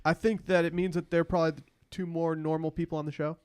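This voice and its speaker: Man chatting casually, slightly high-pitched voice. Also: flat delivery, fast, darkish tone. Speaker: podcast co-host. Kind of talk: casual reality-show recap chat.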